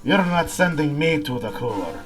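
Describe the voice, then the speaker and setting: A man's voice: a little high, sing-song, moderate pace, music in the background. A man voicing a gloating, pun-cracking supervillain with theatrical, taunting one-liners in an audio drama.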